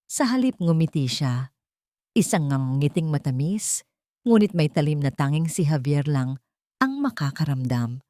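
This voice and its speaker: Low-voiced woman narrating a dramatic story. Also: big pitch swings, unhurried, dark tone, no room sound, studio quality.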